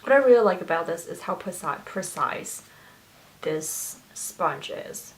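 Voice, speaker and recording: A woman's voice: on the low side, lively intonation, measured pace, rough recording. Woman in her 20s, Chinese first language, chatty get-ready-with-me beauty vlogger. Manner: chatty and gushing, with many fillers and restarts. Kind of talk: get-ready-with-me makeup tutorial chat.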